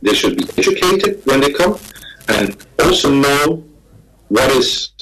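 A man from Denmark, speaking as a guest on a panel over a video call, halting and repeating words as he talks. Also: mid-range voice, big pitch swings, a bit bright, low-quality recording. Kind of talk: remote panel talk.

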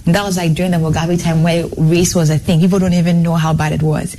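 Young woman guest telling her story, earnest, lots of "like", mellow low-key tone. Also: fast talker, slight background noise. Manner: animated, earnest, tumbling phrases with "like". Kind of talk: personal storytelling in a talk show.

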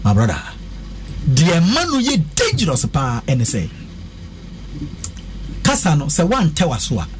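Lively man explaining. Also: fairly high pitch, unhurried, long pauses, background noise. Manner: explains patiently, teacher-like. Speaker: show host. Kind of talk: casual studio banter.

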